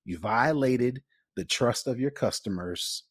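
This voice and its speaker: A man's voice: medium pitch, lively intonation, measured pace, breathy edge, no room sound, clean studio sound. A man co-hosting a podcast, giving casual, opinionated commentary bluntly and emphatically, repeating phrases for effect. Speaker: podcast co-host.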